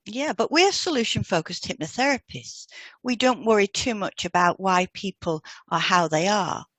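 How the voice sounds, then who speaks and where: A woman's voice: mid-range voice, expressive, normal speed, a bit bright, dry recording. A woman co-hosting a podcast, explaining therapy techniques in a scripted dialogue. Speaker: podcast co-host, hypnotherapist.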